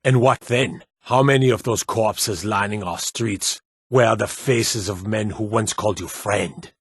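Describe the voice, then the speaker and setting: Stern nord warrior lord for a fantasy game, low slightly husky voice, proud and commanding, weighty delivery. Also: male voice, a little slow, no echo, studio quality. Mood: bitter, grave. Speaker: video game voice actor. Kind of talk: scripted fantasy game dialogue.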